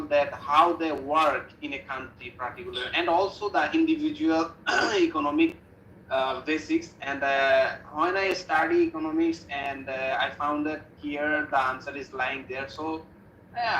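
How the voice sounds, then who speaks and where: Bright-voiced young Bangladeshi man. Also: fairly high pitch, steady tone, normal speed, slight background noise. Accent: Bangladeshi English. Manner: hesitant, long searching answers. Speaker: practice student. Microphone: video call. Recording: echoey room on a call.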